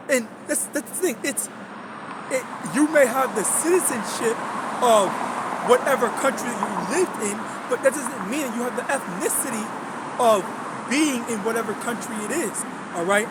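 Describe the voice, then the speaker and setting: Rambling young man, noisy street behind. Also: very high voice. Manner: rambling, repeats words, many fillers and "okay?" checks. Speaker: religious vlogger. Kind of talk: casual monologue.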